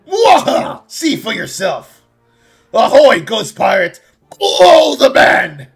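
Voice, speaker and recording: A man's voice: high-pitched, wildly swooping pitch, slow, vocal fry, slightly rough, soft background music, voice pushed up, full character voice. A man voicing a blustering, vengeful old robot pirate captain with a theatrical drawl in an amateur game fandub. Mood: gloating, menacing.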